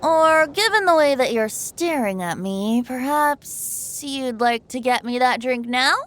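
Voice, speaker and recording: A breezy teenage-sounding boy bard for an anime game, high and lilting, teasing. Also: animated, measured pace, a bit bright, slight background noise. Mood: mock-woeful, teasing. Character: playful, carefree, boyish young male bard, slyly teasing. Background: background music.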